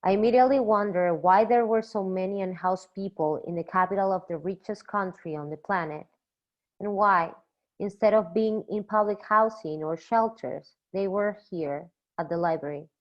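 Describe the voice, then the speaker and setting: Young peruvian woman reading her grad school poster talk, steady and measured, kinda muffled lo-fi audio. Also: mid-range voice. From Peru. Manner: measured, reads from a script. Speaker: PhD student presenting a poster. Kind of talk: read academic presentation. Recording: slightly dull lo-fi recording.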